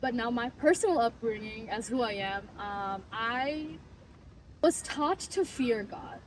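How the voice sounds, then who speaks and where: A woman's voice: on the high side, lively intonation, unhurried, recorded from a distance, slight background noise, poor audio. A woman in her twenties from Bangladesh, talking earnestly and reflectively in a casual interview and laughing easily. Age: 20s. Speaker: client giving a testimonial.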